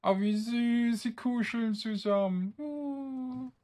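A man's voice: very high voice, steady tone, slow pace, darkish tone. A man giving casual gameplay commentary, thinking aloud in short, loose fragments. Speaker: gamer streaming a let's play.

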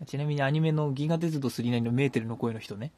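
A man's voice: mid-range voice, quick pace, background noise. A man around thirty hosting a podcast, chatty and teasing, in comedic banter. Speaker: podcast co-host and quizmaster. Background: loud music.

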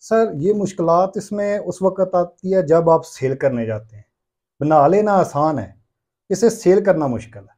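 A man in his thirties answers questions as a small business owner. He has a Pakistani accent and a mellow, relaxed tone, pitched slightly high. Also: unhurried. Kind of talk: interview answers. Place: small brick-walled workshop room indoors.

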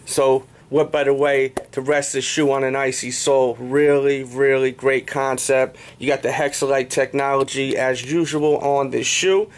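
A man's voice: medium pitch, flat delivery, normal speed, few pauses. A man in his thirties with an American accent hosting a casual sneaker review, using slangy hype-man patter and catchphrases, recorded indoors a few steps from the camera. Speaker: sneaker review show host. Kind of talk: casual vlog product review. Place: small indoor room.

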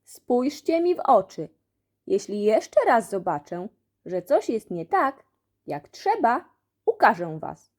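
A woman's voice: on the high side, very animated, slow pace, darkish tone, exaggerated voice. A woman playing a strict, easily flustered male school supervisor in a children's story, scolding indignantly. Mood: exasperated.

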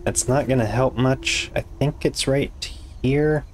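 Hoarse, raspy young man gaming. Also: medium pitch, steady tone, unhurried, slight background noise. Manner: muttering to himself while building, frustrated swearing. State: hoarse, losing his voice. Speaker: gaming YouTuber. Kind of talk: casual let's-play commentary.

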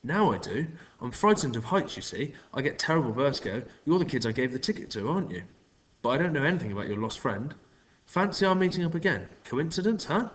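A man's voice: medium pitch, big pitch swings, fast, pitched above the performer's own voice, lightly acted, rough recording. Man putting on a slick, falsely friendly stranger. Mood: smooth, scheming. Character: smooth, sly adult male stranger at bike show.

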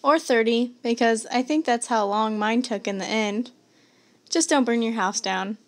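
A young adult woman giving a casual, comedic cooking tutorial in a home kitchen, with playful asides and drawn-out words. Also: on the high side. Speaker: host of a home cooking video. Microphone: camera on counter at about arm's length. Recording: background kitchen noise, clicks.

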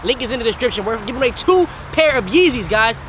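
A young man with a stuffy, nasal voice hosts a hyped, slangy vlog and keeps calling his viewers guys. His pitch sits high for a man. He talks over clear road and car noise. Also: lively intonation, fast. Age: young adult. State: stuffy-nosed from a sinus infection. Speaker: vlog host. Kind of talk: casual vlog chat. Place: outdoors on a road, then in a car.

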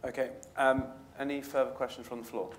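A man's voice: medium pitch, flat delivery, a little fast, a little hiss or hum. A man moderating, briefly calling on questioners from the floor.

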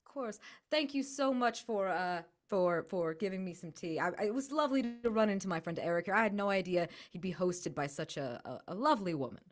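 A chatty, evasive fellow from a tabletop game, voiced by a woman. The delivery swoops about with lively pitch, halting and then turning smoothly over-polite, in a lowish register for a female voice. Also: faint music behind, close to an everyday voice. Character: shifty, glib, chatty male drifter friend.

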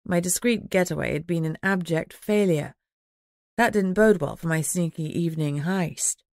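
Female audiobook narrator, lower register, first-person fiction, dry close sound. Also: normal speed, darkish tone, no echo.

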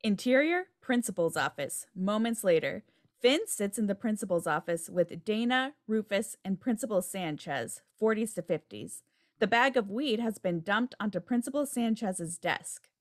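A woman's voice: medium pitch, expressive, moderate pace. Woman reading stage directions. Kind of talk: scripted narration at a table read. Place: indoor room, video call. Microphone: video call microphone.

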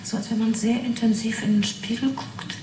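An actress playing a matter-of-fact yet fascinated witness in a German radio play, halting and reflective. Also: female voice, fairly high pitch, flat delivery, close to an everyday voice. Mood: intent, fascinated. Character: young woman witness recounting an uncanny experiment, matter-of-fact yet fascinated. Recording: roomy 1980s radio play, noisy background.

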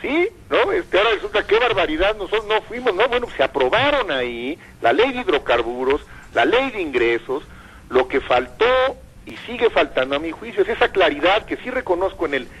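Husky older man, lively pitch. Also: fairly quick, low-quality recording. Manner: thoughtful, many hesitations and repeated words. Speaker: radio guest, commentator. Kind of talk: radio interview conversation.

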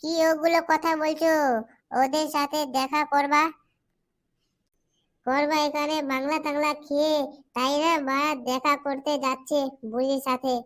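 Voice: sits high, little pitch movement, a little slow, long pauses, a bit bright. A performer voicing a pushy, foul-mouthed young village lad in a comic cartoon, with crude bossy slang.

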